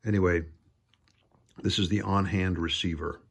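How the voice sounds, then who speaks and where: A man with a gravelly, husky voice giving a casual, enthusiastic, unscripted show-and-tell as a hobby builder at a workshop bench. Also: deep voice, steady tone, normal speed. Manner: enthusiastic, admiring. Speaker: hobby radio builder presenting own project. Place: indoor home workshop at a workbench.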